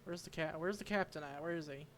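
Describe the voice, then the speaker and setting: A man's voice: fairly high pitch, fast talker, fairly bright tone, a little hiss or hum. A man giving casual, off-the-cuff reaction commentary over gameplay as a streamer. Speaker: game streamer.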